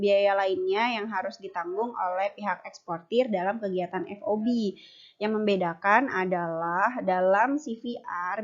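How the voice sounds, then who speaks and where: A young adult woman giving an explanatory class presentation as a university student. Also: mid-range voice. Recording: dull lo-fi recording.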